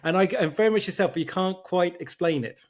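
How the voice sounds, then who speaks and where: A man's voice: sits high, brisk. A middle-aged man who grew up in Germany, hosting a podcast in a chatty way with many restarts. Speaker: podcast host. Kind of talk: casual interview conversation.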